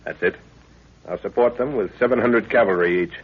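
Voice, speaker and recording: An actor playing a middle-aged commander and fond father, brisk and authoritative, in a 1930s radio drama. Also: lowish voice, breathy edge. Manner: brisk, authoritative, clipped orders. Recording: tinny, muffled 1930s radio recording.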